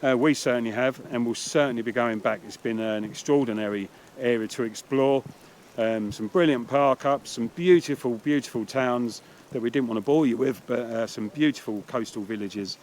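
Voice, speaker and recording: A man's voice: medium pitch, moderate pace, few pauses, a little mellow, a little hiss or hum. A man hosting a travel vlog, chatting casually to his viewers.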